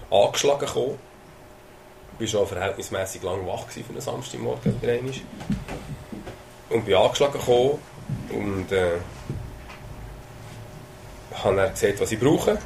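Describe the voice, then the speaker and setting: A man's voice: fairly low pitch, vocal fry, darkish tone, a little hiss or hum, low-quality recording. A man with a Swiss German accent preaching a sermon, talking in a conversational way with hesitations and sudden emphasis. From Switzerland.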